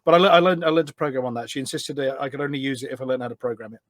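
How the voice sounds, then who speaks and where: A man's voice: medium pitch, rapid-fire, a bit bright, dry recording, clean studio sound. A middle-aged British man chatting casually as a podcast guest, rambling and correcting himself as he reminisces. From United Kingdom.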